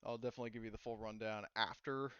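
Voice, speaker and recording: Bright, clear-voiced man, slightly roomy. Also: lowish voice, steady tone, rapid-fire, dull audio. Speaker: game master. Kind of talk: casual post-game chat.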